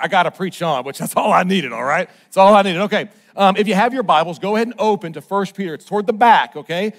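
A man preaching a conversational sermon, chatty and self-mocking, checking in with the congregation as his voice swings from loud and emphatic to low and soft. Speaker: pastor.

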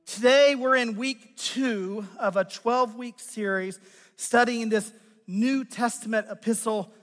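An American man preaching a conversational teaching sermon as a pastor, with a raspy, strained voice and deliberate, emphatic phrasing. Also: extremely high pitch, fairly even intonation. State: strained voice after losing it. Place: large church sanctuary. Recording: long hall reverb from sound system.

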